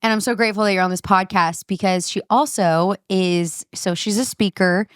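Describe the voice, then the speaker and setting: A woman hosting a podcast, talking in an enthusiastic, gushing and quick way. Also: medium pitch, smooth, no echo, clean studio sound. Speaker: podcast host. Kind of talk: casual podcast chat.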